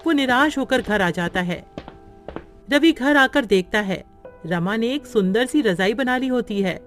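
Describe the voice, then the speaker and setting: Female storybook narrator for cartoon, higher register, light music bed. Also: lively intonation, a little slow.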